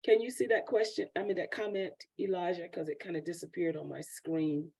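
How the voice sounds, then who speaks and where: A woman's voice: on the low side, fairly even intonation, brisk, vocal fry, darkish tone, husky. A woman moderating an online Q&A, reading out audience questions with hesitant fillers. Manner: hesitant, reads questions aloud. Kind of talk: hosting an online Q&A.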